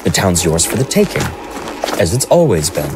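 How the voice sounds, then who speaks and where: A man's voice: deep voice, very animated, moderate pace, over music. A man playing a put-upon, wheedling small-town mayor in an audio drama, with a sardonic, fussy, evasive manner. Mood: peeved. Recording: noisy background ambience.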